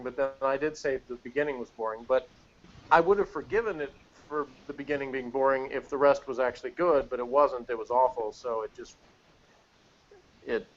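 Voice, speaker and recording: A man co-hosting a review show in casual, blunt, teasing chat over a lo-fi online call. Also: mid-range voice. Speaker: co-host of a TV episode review show. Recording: dull, lo-fi online call audio.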